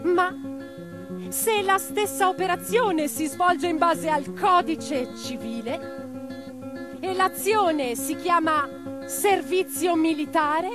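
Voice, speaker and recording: A high-pitched woman revolutionary speaks in an intense, theatrical radio-play monologue. She declaims her letters and convictions with dramatic weight, over plucked guitar music. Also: takes it slowly. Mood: fiery, oratorical. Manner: declamatory, dramatic, swinging from hushed to forceful. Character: impassioned female socialist revolutionary, intense, reflective letter-writer.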